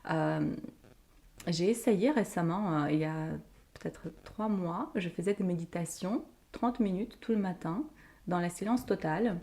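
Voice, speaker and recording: A young woman from Ukraine, a podcast guest talking in an emotional, animated way and often laughing. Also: on the low side, measured pace. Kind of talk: casual interview talk.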